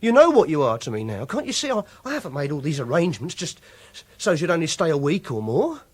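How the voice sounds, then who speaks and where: A man's voice: on the high side, big pitch swings, fast talker, slightly rough, no room sound. An actor playing an awkward, obsessive young captor in a radio drama, hesitant and insistent in his speech. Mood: agitated pleading. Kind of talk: radio drama acting with confessional narration.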